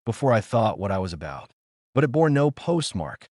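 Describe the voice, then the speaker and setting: A man voicing a sick, anxious gentleman doctor in an audiobook, fervent, solemn and formal. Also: lowish voice, very animated, normal speed, dry recording, studio quality. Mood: dejected. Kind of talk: dramatic audiobook character reading.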